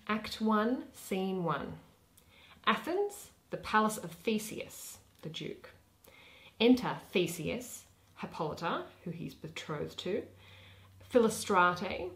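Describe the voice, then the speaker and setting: A woman's voice: medium pitch, rough recording. A young adult woman hosting a play reading, introducing it and reading stage directions. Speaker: host and reader.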